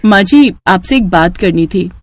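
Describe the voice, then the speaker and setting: Cartoon young daughter-in-law, acted by a woman, courteous and deferential, lively intonation. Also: on the low side, a little slow. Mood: polite. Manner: polite, deferential. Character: polite, hard-working young daughter-in-law, secretly a police inspector. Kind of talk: Hindi cartoon moral story.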